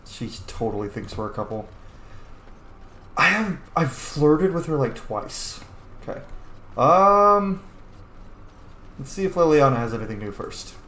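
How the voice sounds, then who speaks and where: Guy doing casual game commentary, really animated pitch, echoey room. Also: measured pace, pauses often. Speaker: gaming host. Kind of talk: casual let's play commentary. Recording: roomy sound with faint noise.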